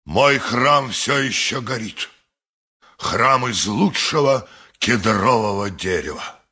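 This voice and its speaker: An upset temple priest, raspy and slow, forceful radio play voice. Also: male voice, on the low side, expressive, heavily acted. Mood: aggrieved. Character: aggrieved male priest lamenting his burning temple. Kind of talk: radio drama acting. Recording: music faintly behind.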